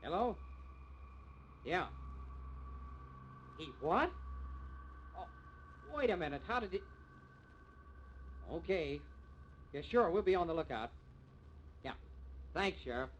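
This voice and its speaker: An actor playing a level-headed newspaper editor in a 1950s radio drama. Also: male voice, fairly high pitch, expressive, slow, long pauses. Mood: businesslike. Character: level-headed newspaper editor, married man, peacemaker in tense scenes. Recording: dull, hissy vintage radio recording.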